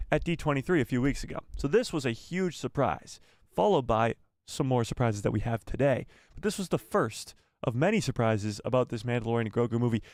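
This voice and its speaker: A man's voice: mid-range voice, dry recording, clean studio sound. A man hosting a live fan news stream, reading news and adding rambling, self-correcting asides to his viewers. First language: not Spanish. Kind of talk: casual live news chat.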